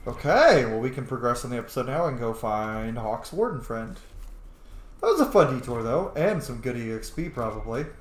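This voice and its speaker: A man giving casual, informal gameplay commentary to his viewers, excitable and prone to swearing. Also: medium pitch, normal speed. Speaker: let's play host. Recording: roomy echo, faint background noise.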